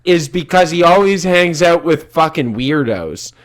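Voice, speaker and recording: A man around thirty talks on a podcast with an animated, wide-ranging pitch. He gives blunt opinions and swears a lot in loose conversation. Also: a little slow. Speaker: podcast co-host. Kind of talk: casual chat.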